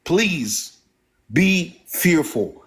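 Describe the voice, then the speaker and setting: A man from the Congo whose first language is French, speaking with a Congolese French accent as a caller making his points in an earnest, measured, orderly way. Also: fairly high pitch, very animated. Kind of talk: earnest call-in opinion.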